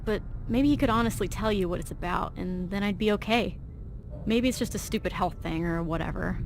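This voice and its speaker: A woman's voice: mid-range voice, noisy background, lightly acted. A young woman playing an anxious, driven teenage vault girl in video game dialogue, chatty, earnest and slangy.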